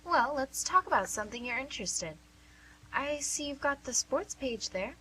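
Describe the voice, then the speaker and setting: A woman's voice: high voice, expressive, normal speed, bright, forceful tone. A woman playing a cheerful, probing, slightly fumbling nurse in a radio drama, speaking in a coaxing, conversational way. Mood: upbeat, probing. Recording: noisy amateur recording with faint hum.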